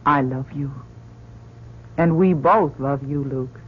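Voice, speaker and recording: A woman's voice: low voice, slow pace, husky, heavily acted. A woman playing a brave, devoted doctor and astronaut with earnest, dramatic delivery in an old radio drama. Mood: tender resolve. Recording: muffled, noisy old radio recording.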